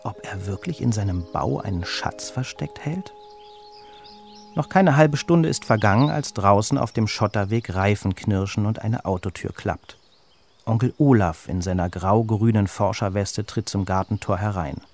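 A man reading a children's story as its narrator, with an expressive storytelling delivery. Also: on the low side, normal speed, gravelly, slight background noise.

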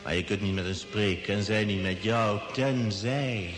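A man playing a mysterious unseen voice in an old radio drama, calm, coaxing and commanding in a measured, insinuating way. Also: lowish voice, natural voice. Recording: reverberant old radio play, music behind.

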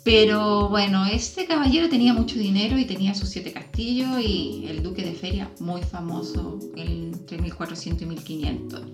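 A woman talks casually as a podcast host, sprinkling in diminutives and little check-in questions. Her pitch is average for a woman. The room adds noticeable echo, and music plays clearly behind her. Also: normal speed, few pauses. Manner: chatty, uses diminutives and tag questions. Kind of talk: casual conversation recounting a visit.

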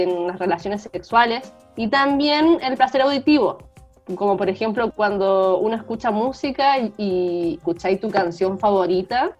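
A woman with a Chilean accent co-hosting a podcast, explaining things in a casual, chatty way. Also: medium pitch. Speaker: podcast co-host. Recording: dull lo-fi audio, music underneath.

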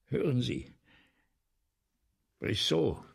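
A vain, self-pitying man of letters in a radio drama. His husky, creaky voice moves slowly and deliberately, with wide melodic swings. He sounds haughty, weary and full of scorn. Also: mid-range voice, very animated, heavily acted. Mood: scornful, weary. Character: vain, embittered male playwright scorning his audience. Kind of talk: theatrical German radio drama monologue.

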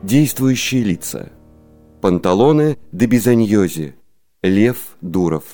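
A man's voice: on the low side, expressive, takes it slowly, mellow, faint music behind. A man reading a scripted cast announcement as the announcer of a radio play.

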